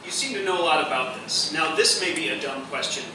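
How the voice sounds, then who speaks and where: A man's voice: a little high, fairly quick, natural voice. A very echoey, noisy live radio-play recording with the voice at some distance. An actor plays a curious male companion with a dry, teasing way of talking. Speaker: stage actor. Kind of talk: live radio-play dialogue before a microphone. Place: live theatre performance space.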